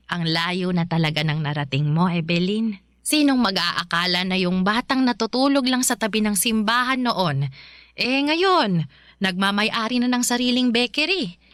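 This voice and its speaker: A woman playing a gentle, pious elderly adoptive mother in a radio drama, speaking softly with small chuckles. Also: medium pitch, close to an everyday voice. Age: older.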